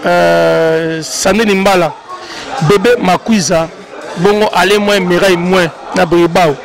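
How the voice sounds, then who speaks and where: A male host, interviewing in a halting way with many restarts. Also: high-pitched, fairly even intonation. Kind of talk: interview in Lingala and French. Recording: music clearly behind.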